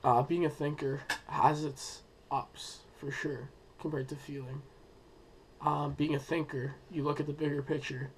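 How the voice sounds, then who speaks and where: Hoarse, sick young man with halting speech. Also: on the high side, level tone, a little hiss or hum. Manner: hesitant, many ums and uhs, thinking aloud. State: sick and losing his voice. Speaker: student presenting a school project. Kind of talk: unscripted personal presentation.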